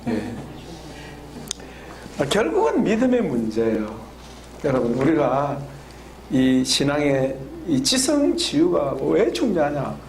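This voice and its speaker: Male pastor preaching, high voice, lively pitch, laughs a lot, keeps asking the crowd questions. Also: takes it slowly, long pauses, a little hiss or hum, low-quality recording. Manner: lively, laughing often, asks the congregation questions. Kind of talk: sermon with call and response. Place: church hall with congregation.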